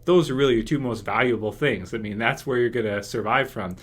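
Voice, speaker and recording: Casual man thinking out loud. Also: mid-range voice, brisk, slightly rough. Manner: hesitant, thinking aloud. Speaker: YouTube video host. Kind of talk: casual fan commentary and speculation.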